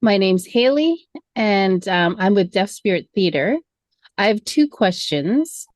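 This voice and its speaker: A woman on a video call asking grant questions for a theater group, halting, with fillers and self-corrections. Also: mid-range voice, very animated, darkish tone, no room sound, studio quality.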